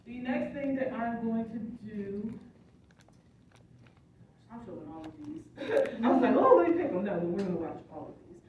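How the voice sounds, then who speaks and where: A woman's voice: mid-range voice, darkish tone. A woman teaching a college class, explaining step by step and often checking that the students follow. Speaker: college instructor. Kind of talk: classroom lecture. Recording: echoey classroom, distant phone recording, background noise.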